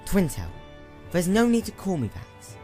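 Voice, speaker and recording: A man voicing a weary, sorrowful female pony princess in a storybook, speaking in a soft, restrained, measured way. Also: high voice, huge pitch swings, soft background music, voice pushed up, exaggerated voice. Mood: sad tenderness.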